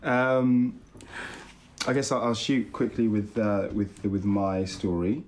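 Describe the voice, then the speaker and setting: A man's voice: fairly low pitch, level tone, slow, a little mellow, slight background noise. A young British man, a podcast co-host, telling his own story in a chatty, informal, self-deprecating way. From born in London, raised partly in Italy and England. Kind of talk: casual personal storytelling.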